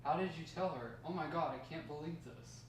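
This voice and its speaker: A man's voice: fairly high pitch, a little fast, natural voice. Grandson role, read by a man: young, eager, inquisitive, table-read delivery, off-mic with faint noise. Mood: incredulous. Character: curious young grandson, eagerly prompting for the story. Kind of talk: table read of a dramatic script. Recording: echoey room, distant mic, faint noise.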